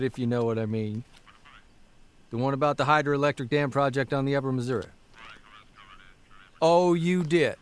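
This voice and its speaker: An actor playing a harried, wry 1930s newspaperman, with a folksy, blustery, halting manner in a period radio drama. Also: on the high side, fairly bright tone, clear, resonant tone. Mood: grudging, irritated.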